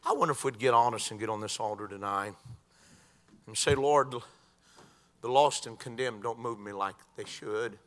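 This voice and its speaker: A man preaching a sermon in a church, swinging from quiet talk to shouted crescendos. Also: mid-range voice, normal speed, long pauses.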